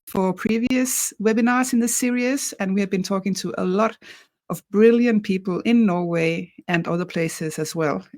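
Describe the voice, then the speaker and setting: A woman's voice: mid-range voice, a little mellow, dry recording. A woman hosting a webinar over a video call, easygoing and chatty with frequent fillers. Her voice is dry and close, with no room sound around it, and sits in a middle range. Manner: friendly, loose and chatty with fillers. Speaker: webinar host.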